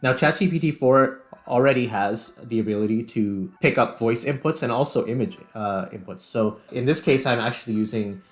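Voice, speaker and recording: A man's voice: medium pitch, moderate pace, hardly any pauses. A middle-aged man who works as a game developer, casually narrating a screen-recorded tutorial.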